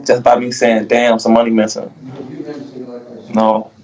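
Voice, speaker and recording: A man's voice: mid-range voice, monotone, unhurried, darkish tone, highs cut off. A man who is a podcast guest, telling a long casual story in a rambling, profane way and asking "you feel me" again and again.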